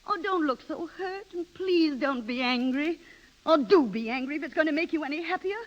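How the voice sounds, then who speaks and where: A snobbish, restless wife in an old radio play. Her voice is very high, and she speaks with haughty theatrical airs, vain and impatient with those beneath her. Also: expressive, moderate pace, lo-fi sound. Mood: strained, pleading. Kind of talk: 1930s radio drama acting.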